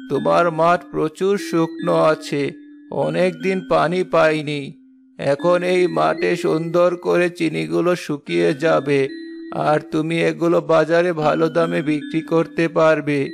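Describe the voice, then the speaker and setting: A man voicing a benevolent old wandering sage in a children's fairy-tale cartoon, creaky and reassuring in a fatherly way. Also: a little high. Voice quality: creaky, gravelly.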